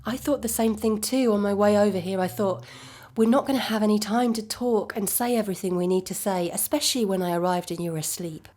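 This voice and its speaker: An actress playing a devoted, forgiving young girlfriend in an intimate audio drama, conversational and softly reassuring. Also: mid-range voice, brisk, few pauses, lightly acted.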